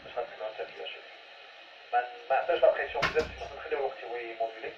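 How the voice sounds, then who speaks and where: A man's voice: mid-range voice, racing. A man with a Moroccan accent hosting an amateur radio net informally, rambling and repeating words as he mixes two languages. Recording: noisy muffled radio, echoey, far off.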